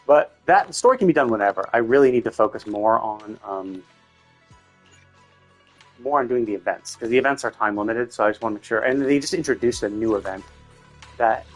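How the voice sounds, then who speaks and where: A man's voice: mid-range voice, a little fast, faint music behind. A man giving casual livestream commentary on games, explaining in a rambling way and often rephrasing himself. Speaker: video game livestreamer.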